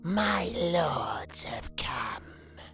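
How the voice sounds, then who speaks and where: A haggard, coy witch , as a male narrator puts her on in a dramatic audio story. She whispers very slowly with wild swoops in pitch, breathy and creepy, with clear music behind her. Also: big pitch swings, fairly bright tone, full character voice. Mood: sly, eerie. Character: sly haggard witch woman, devoted cultist.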